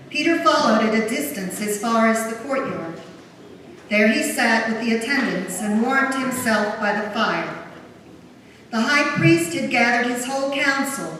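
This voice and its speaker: A woman narrating a dramatic scripture reading in a church, with a solemn, measured delivery. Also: a little high, fairly bright tone. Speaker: narrator of a Passion Gospel reading. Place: large church during a service. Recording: very echoey church, distant mic, noisy.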